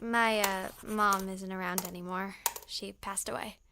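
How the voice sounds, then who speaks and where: A young woman acting an earnest, somewhat hesitant high school girl in a naturalistic audio drama. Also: steady tone, a little slow, noisy background, lightly acted. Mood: subdued, reflective. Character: earnest, reserved teenage high school girl raised on the mainland.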